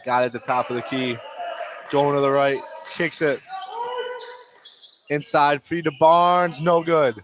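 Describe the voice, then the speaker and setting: A man with a slightly high voice calls a basketball game live for radio. His phrases are short and clipped, rising to excitement on big plays. Clear gym noise and a bouncing ball sit behind him. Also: a little slow, pauses often. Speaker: play-by-play basketball announcer. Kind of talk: live sports radio commentary. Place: indoor basketball gymnasium. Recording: noisy gym ambience.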